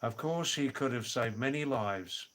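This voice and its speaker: An older man talks very slowly on his own livestream, reading viewer comments aloud. He drags out phrases and repeats words. His voice sits at a middling pitch, with chuckles now and then. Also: fairly bright tone. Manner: slow, drawn-out, repeats words, chuckles "hoo hoo hoo". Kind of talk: casual live chat. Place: home in the Himalayas.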